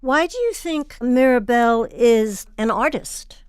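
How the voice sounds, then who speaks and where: A woman's voice: fairly high pitch, animated, slow, clean tone, no room sound, studio quality. A woman hosting an arts interview, articulate and inquisitive as she puts questions to guests. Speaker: host and interviewer.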